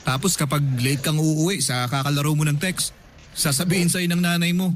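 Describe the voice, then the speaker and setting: A man's voice: on the high side, steady tone, fairly quick, crowd noise, natural voice. A man playing a cheeky, nostalgic office buddy in a radio drama, chatting and joking with a Filipino accent. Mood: playful, nostalgic. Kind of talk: conversational radio drama acting.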